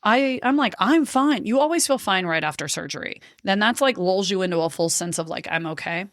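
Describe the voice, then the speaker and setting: A woman's voice: medium pitch, expressive, fairly quick. A woman nearing forty hosts a podcast and tells her own story in a blunt, profane, unfiltered way, breaking into laughter often. Her voice sits in the middle range. The sound is dry and close, with no room echo. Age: 30s. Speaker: podcast host. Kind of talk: casual storytelling chat.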